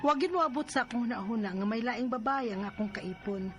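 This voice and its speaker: Woman acting a high-voiced, distraught young mother. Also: fast talker, over music, close to an everyday voice. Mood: anxious, sorrowful. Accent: Cebuano Filipino. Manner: emotional, pleading. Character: Liza, distraught young mother wronged by her jealous partner. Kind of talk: Filipino radio drama acting and narration.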